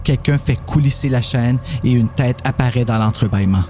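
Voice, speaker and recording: A man's voice: mid-range voice, fairly quick, warm tone, a little hiss or hum. A man with a Quebec French accent narrating a scripted horror story as host.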